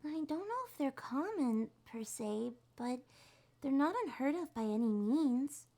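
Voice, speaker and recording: Woman voicing a soft, high-pitched shy succubus girl. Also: expressive, measured pace, full character voice. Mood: nervous, explaining. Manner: hesitant, gentle, slightly stammering. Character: shy, sweet young female succubus monster girl. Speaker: ASMR roleplay performer. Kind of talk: ASMR roleplay, soft and intimate.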